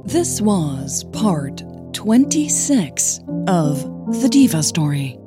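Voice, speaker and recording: A woman narrating a scripted audio story as its host, measured and deliberate in her delivery. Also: fairly low pitch, very animated, over loud music.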